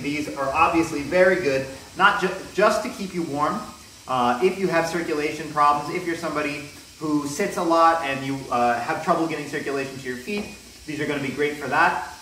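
Young man reviewing in an echoey room. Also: fairly high pitch. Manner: relaxed, with frequent ums and restarts. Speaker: product reviewer. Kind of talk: casual product review. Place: bare indoor room with wooden floor. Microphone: camera mic, near then from a distance. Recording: echoey room, faint hiss.

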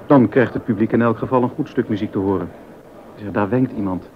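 A man's voice: fairly low pitch, fairly quick, close to an everyday voice. Man acting a worldly confidant, slightly muffled recording. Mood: brisk. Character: baroque musician's worldly, sympathetic confidant, gossipy. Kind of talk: Dutch docudrama dialogue. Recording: dull sound with background noise.

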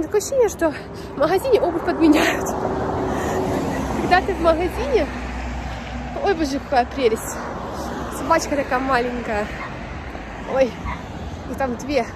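A young woman who is slightly unwell with a runny nose, chatting informally and in slang as she vlogs outdoors on a phone held at arm's length. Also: high-pitched. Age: young adult. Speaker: vlogger. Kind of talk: casual walking vlog chat. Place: outdoors on a city street beside traffic. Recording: clear outdoor street and traffic noise.

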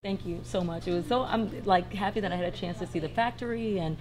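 A woman in her forties hosts a fashion program with a low, polished voice. Her delivery is upbeat and presenter-like, moving between scripted narration and friendly questions. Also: quick pace, over loud music, low-quality recording. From Alabama, USA. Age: 40s. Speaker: host.

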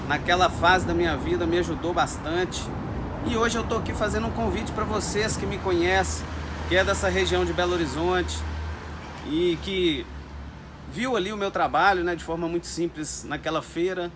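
Chatty orchid grower in greenhouse. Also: male voice, sits high, fairly even intonation, normal speed, heavy background noise. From Brazil. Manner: chatty, keeps addressing viewers as "gente". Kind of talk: casual talk to camera with growing tips. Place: indoors in a greenhouse full of orchids.

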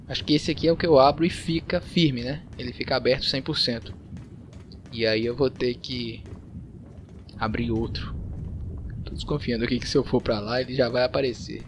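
A man's voice: mid-range voice, normal speed, pauses often. Brazilian man, playful gaming commentary over game noise. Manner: playful, joking, talking to himself. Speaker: gaming video host. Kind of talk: casual Let's Play commentary. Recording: clear game noise behind voice. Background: video game ambience and mechanical sounds.

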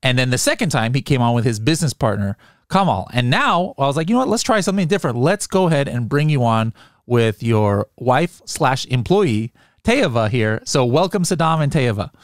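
A man's voice: medium pitch, sing-song, a little fast, no echo. A man hosting a podcast, chatting casually and joking with his guests from a studio mic. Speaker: podcast host. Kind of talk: casual interview chat. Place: home studio with headset and boom mic. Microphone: studio microphone on boom arm, headphones.

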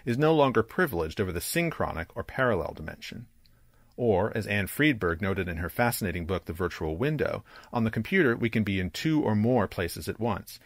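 A man with a fairly low voice presents a scripted, academic video essay. He speaks in a measured and articulate way, explaining as he goes. Music plays faintly behind his narration. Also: fairly bright tone. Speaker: presenter, digital comics creator. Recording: music under the voice.

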